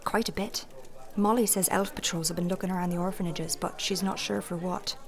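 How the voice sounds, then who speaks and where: A woman's voice: lowish voice, fairly even intonation, fairly quick, close to an everyday voice. A woman playing a shrewd, candid innkeeper in a fantasy audio drama, with a folksy, thoughtful way of talking. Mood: confiding, shrewd. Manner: plain-spoken, folksy, thoughtful.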